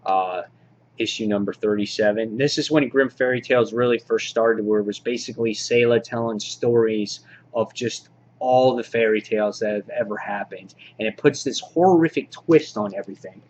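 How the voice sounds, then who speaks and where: A man giving an informal, halting show-and-tell commentary as a hobby vlog host, in an understated way. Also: on the low side, darkish tone. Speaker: vlog host showing a comic book collection. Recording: dull lo-fi audio, clear background noise.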